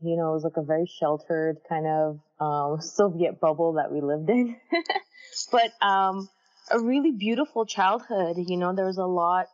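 A woman in her thirties, originally from Armenia, telling stories from her life in a relaxed interview chat with lots of fillers. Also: on the low side, normal speed, highs cut off. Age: 30s. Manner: chatty, warm storytelling with many fillers. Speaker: guest, character designer.

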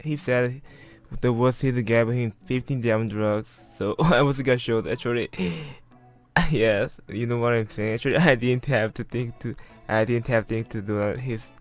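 A man of about twenty with a Korean accent, giving casual commentary in halting, repetitive non-native English. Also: medium pitch, fairly even intonation. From South Korea. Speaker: streamer narrating his video. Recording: voice-over with music underneath.

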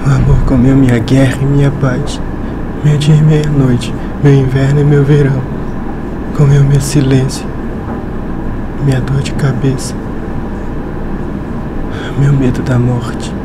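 A man reciting a poem in a slow, even manner. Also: mid-range voice, natural voice. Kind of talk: poetic monologue. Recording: loud background noise.

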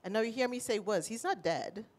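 A middle-aged woman with a Trinidadian accent, sharing a personal story at a panel with animated, emotional delivery, speaking into a handheld mic. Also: a little high, fairly quick. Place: panel stage in a large indoor room.

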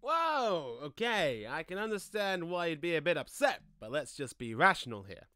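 A man's voice: a little high, sing-song, normal speed, pushed and bright, clear, resonant tone, dry recording, studio quality. A man playing a playful, casual, laid-back male ghost who likes pranks, in an audio play.

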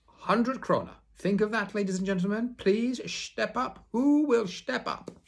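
A pompous circus ringmaster bellows in a high, strained voice, dragging out his big announcements slowly. He mangles his grammar like a foreigner in a storybook circus. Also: gravelly. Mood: grandiose. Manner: booming showman patter, broken grammar.

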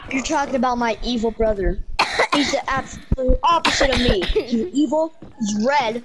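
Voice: sits high, moderate pace, noisy background. A dramatic, exclaiming player improvising in a casual game roleplay, with a female voice.

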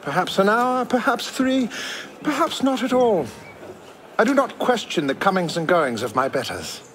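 An actor playing a haughty, dutiful servant with a dry, withholding manner in a video game. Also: male voice, high voice, very animated, moderate pace, fairly bright tone. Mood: snide. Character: snide, dutiful male household servant.

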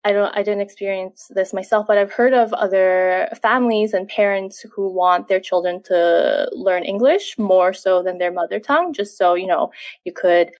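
A chatty young woman talks casually as an interview guest. She fills her sentences with "like" and "you know" and laughs easily. Her pitch sits in the middle of the usual range. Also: normal speed, a little mellow. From Canada, Quebec. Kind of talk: casual conversation.

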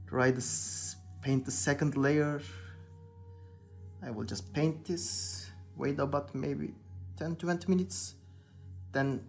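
A young man with a Turkish accent talks casually at a workbench, stopping often to find his English words. His voice sits at an ordinary middle pitch, and faint music plays underneath. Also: creaky. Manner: hesitant, searching for English words, many "you know". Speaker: hobby painter, channel host. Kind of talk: casual talk while painting. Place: indoor workbench.

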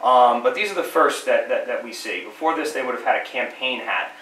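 A bright-toned middle-aged man, a little nasal from a cold, talks a small group through old gear in a friendly, halting way full of fillers. He is picked up by a camera across a small, echoey library room. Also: medium pitch, slight background noise. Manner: friendly, many fillers and repeated words. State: has a cold, slightly nasal. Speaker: living history presenter. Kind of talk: informal history talk to a small audience. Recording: roomy, distant camera sound.

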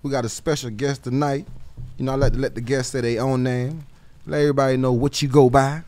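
A young man from hosting a casual podcast interview, loud and teasing, often repeating his words. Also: medium pitch, flat delivery, a little fast. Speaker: podcast host. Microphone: desk mic on boom arm.

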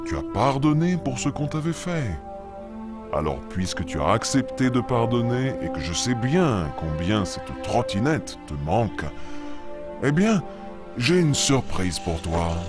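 A man playing a kindly, wise father lion in a children's puppet show, speaking in a measured, expressive, paternal way. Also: medium pitch, over music. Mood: proud, tender.

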